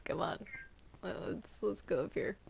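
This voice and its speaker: A gamer improvising in a casual roleplay with a creaky, fry-edged voice. The delivery is hesitant, with stammers and doubled words. There is faint noise and clicking on the home recording. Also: medium pitch, lively intonation, measured pace. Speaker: player in a group game roleplay.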